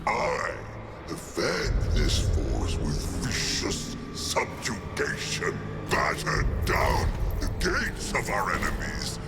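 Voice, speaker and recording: A decadent fallen alien emperor in a game cutscene, gravelly and theatrical. His pitch swoops wildly as he lingers slowly over grand words. A loud orchestral score plays beneath. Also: full character voice. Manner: grandiose, swings from fawning to booming. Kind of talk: video game cutscene acting.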